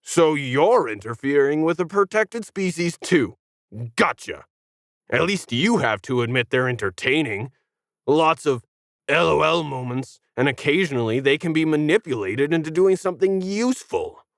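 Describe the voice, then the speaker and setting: A man's voice: on the high side, animated, moderate pace, fairly bright tone, no room sound, studio quality. A man voicing a smug, whiny tentacled alien who sneers condescendingly at humans in an audio science fiction story. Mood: smug, amused. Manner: condescending, sarcastic.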